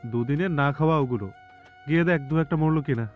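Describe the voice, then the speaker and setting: A man playing a brusque, scolding family elder in an audio story, brisk and bossy, recorded with slightly dull sound. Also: fairly high pitch, faint music behind, lightly acted.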